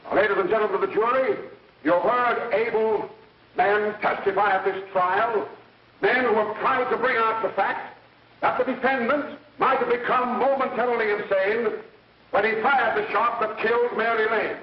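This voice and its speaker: An American actor playing a stern prosecuting attorney, orating formally and emphatically in a 1930s film. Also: male voice, high voice, fairly even intonation, moderate pace, lightly acted. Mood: insistent, formal. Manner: declamatory, emphatic, righteous. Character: stern prosecuting attorney making a forceful closing argument. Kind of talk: 1930s film acting, formal courtroom oratory. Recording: dull, roomy, distant 1930s film soundtrack.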